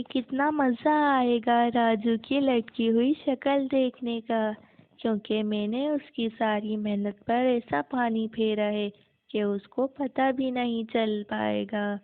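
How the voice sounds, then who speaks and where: A woman voicing a spiteful, resentful aunt in a cartoon village moral tale, with a scolding manner. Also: a little high. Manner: scolding, gloating. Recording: muffled, narrow phone-like sound.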